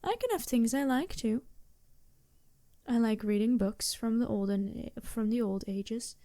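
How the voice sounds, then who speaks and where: A woman voicing a poised, imperious yet compassionate demon princess in an intimate ASMR roleplay, with a deliberate, softly commanding manner. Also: on the high side, no echo. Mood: poised, self-assured.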